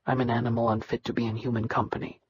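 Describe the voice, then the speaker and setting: A woman voicing a terrified, beaten young male hybrid servant who speaks in a quivering, breathy hush. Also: medium pitch, little pitch movement, racing, lo-fi sound, slight background noise, voice pushed down, natural voice. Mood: hollow. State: in pain and panic.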